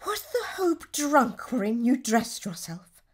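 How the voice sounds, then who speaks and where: An actress playing a ruthless, scheming noblewoman, speaking Shakespearean verse in a deliberate, theatrical manner for a radio drama. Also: sits high, sing-song, slightly rough, full character voice. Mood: scornful.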